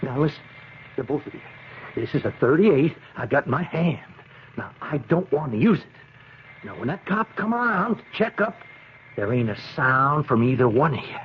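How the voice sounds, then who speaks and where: A man's voice: fairly high pitch, sing-song, moderate pace. A man playing a menacing, slangy American career criminal with a gun in a 1970s radio drama, stammering when rattled. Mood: cold threat. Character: jittery, menacing male gunman, a street-tough career criminal. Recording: muffled, hissy 1970s radio recording.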